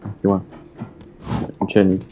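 A man's voice: measured pace, highs cut off. A young man with a Québécois French accent joking around while playing a co-op game, goofy and playful. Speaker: co-op gaming partner. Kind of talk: casual co-op gaming chat. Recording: game music underneath. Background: game music.

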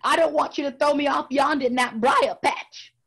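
A woman's voice: high-pitched, normal speed, a bit bright, pitched above the performer's own voice. A woman voicing a sly, indignant male rabbit trickster from a folk tale, prim and huffy, then pleading.

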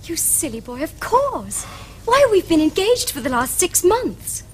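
A woman's voice: very high-pitched, big pitch swings, measured pace, a little hiss or hum, exaggerated voice. An actress playing a prim, romantic young Victorian lady in a period comedy, with precise, formal Received Pronunciation. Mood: dreamy, matter-of-fact. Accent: English Received Pronunciation. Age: young adult. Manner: precise, formal, earnest diction. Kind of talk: period stage comedy acting for television.

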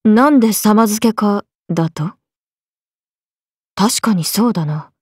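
A woman's voice: medium pitch, lively intonation, takes it slowly, darkish tone, breathy edge, dry recording, studio quality. A woman voicing a young swordswoman character in a video game. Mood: flat, musing. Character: swordswomen: a lively young one and her stoic alter self.

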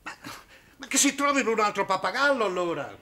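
A blustering middle-aged gentleman in an old TV drama. The delivery is sharp and highly animated, full of pedantic indignation. There is faint noise on the vintage soundtrack. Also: a little fast, exaggerated voice. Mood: exasperated. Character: pompous, irritable, sceptical middle-aged landowner. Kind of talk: 1960s Italian television drama acting. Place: studio set of a furnished room. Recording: noisy old television soundtrack.

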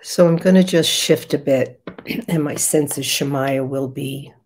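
An older woman with a raspy voice, a spiritual guide leading a soft, meditative session with chanting, heard over a video call from a room at home. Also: deep voice. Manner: slow, soft and meditative, with pauses.

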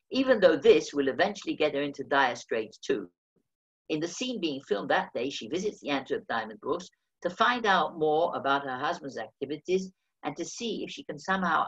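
A man's voice: fairly high pitch, expressive, normal speed, fairly bright tone, lo-fi sound. A man, reading his own memoir aloud over a video call with dry, wry humor. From England. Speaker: memoir reader.

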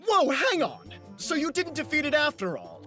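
A man's voice: very high-pitched, huge pitch swings, moderate pace, full character voice. A man playing a haughty, theatrical demon overlord in an anime game, pompous and mocking. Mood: indignant. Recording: loud music behind the voice.

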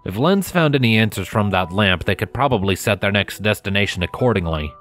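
A man narrating a scripted story in a measured, expressive storytelling manner, close to the microphone. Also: lowish voice, faint music behind.

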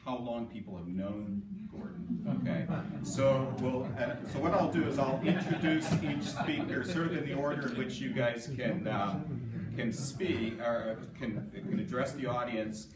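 A man's voice: medium pitch, unhurried. A middle-aged man presiding over a scholarly session, giving an informal, hesitant introduction with many self-corrections. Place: conference session room, recorded from a distance. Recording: echoey room, distant mic, loud background noise.

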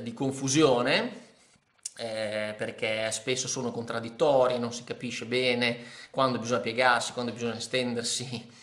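Male vlogger, 30s, middle voice, unhurried and hesitant, reverberant home recording. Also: mid-range voice, a bit bright. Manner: hesitant, many fillers and restarts, thoughtful. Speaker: ski vlogger reviewing a book. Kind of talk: casual spoken book review to camera. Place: living room indoors. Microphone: camera in front of face. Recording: echoey room.

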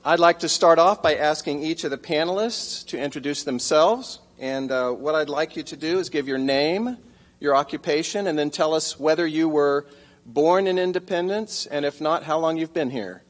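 A man's voice: on the high side, normal speed. A man in his sixties moderating a town hall panel on a theater stage, talking in a joking, conversational way into a stand mic. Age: 60s.

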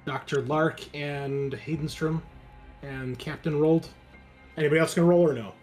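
A man's voice: a little high, fairly even intonation, normal speed, darkish tone, soft background music. A man hosting as game master, talking casually through a tabletop roleplaying game. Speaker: game master and channel host.

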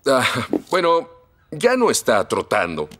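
An actor with a Latin American Spanish accent voicing a steady, heroic fireman in a children's cartoon. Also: mid-range voice, takes it slowly, a little hiss or hum, heavily acted. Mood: easygoing. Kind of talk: animated children's cartoon dub.

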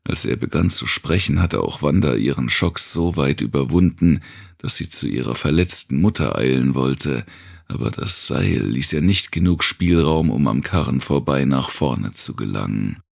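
A man narrating a dark thriller audiobook in a slow, deliberate, dramatic manner, with a gravelly, raspy voice. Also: very deep voice, lively intonation. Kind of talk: scripted narration of a dark post-apocalyptic thriller.

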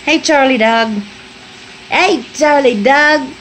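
Woman vlogger, middle-aged, slow-spoken and folksy, slightly high voice, faint frying behind, phone mic in a home kitchen. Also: fairly bright tone, a little hiss or hum, rough recording. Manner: folksy, chatty, laughs easily. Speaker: homestead vlogger. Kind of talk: casual chat. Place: small home kitchen, indoors. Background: food frying and sizzling.

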